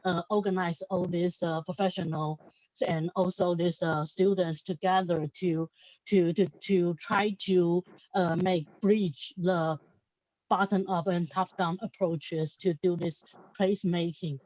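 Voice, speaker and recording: A woman's voice: lowish voice, steady tone, darkish tone, no echo. Taiwanese-accented woman, hesitant, muffled audio. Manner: hesitant, halting phrasing with repeated words. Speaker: symposium panelist. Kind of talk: unscripted panel discussion.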